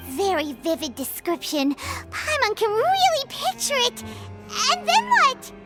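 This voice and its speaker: Squeaky, perky little fairy companion. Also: female voice, wildly swooping pitch, a little slow, a bit bright, soft background music, exaggerated voice. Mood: eager, questioning. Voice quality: squeaky, childlike. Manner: refers to self in third person, naive exclamations. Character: tiny childlike floating fairy companion, perky and curious. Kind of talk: video game voice acting.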